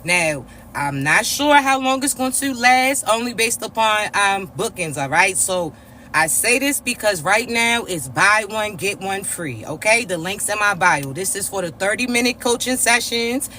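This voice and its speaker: A man with a raspy, congested voice, giving a spiritual reading to his viewers in a slow, repetitive preaching way, often checking in with his listeners. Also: high-pitched, expressive, sharp tone, slight background noise. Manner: slow, repetitive preaching with frequent "all right?" check-ins. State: congested, stuffy nose. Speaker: spiritual reader and coach.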